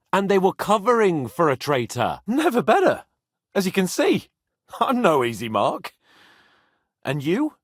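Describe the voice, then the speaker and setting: A man playing a brash, excitable young medieval nobleman in a video game, speaking theatrically with a husky voice, haughty yet loyal. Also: a little high, dry recording, studio quality, full character voice. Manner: theatrical, expressive, swearing freely. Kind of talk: video game voice acting, dramatic dialogue.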